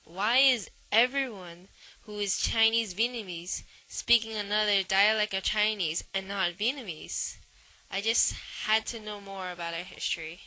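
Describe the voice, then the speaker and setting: A woman's voice: medium pitch, normal speed, bright, forceful tone, low-quality recording. A young woman narrating a personal documentary in an earnest voice.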